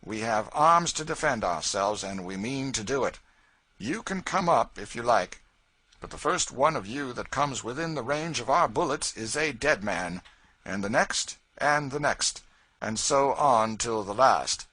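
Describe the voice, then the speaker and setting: A man's voice: medium pitch, lively intonation, no echo, pitched above the performer's own voice, rough recording. A man voicing a defiant young fugitive, declaiming. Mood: stern. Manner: slow, solemn, forceful declaiming.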